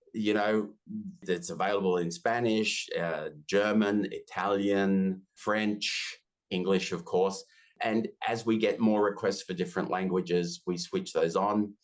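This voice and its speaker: A deep-voiced Australian man in his fifties talks casually in an interview. He is chatty and self-deprecating and slips into quick asides. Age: 50s. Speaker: interview guest, business software community founder.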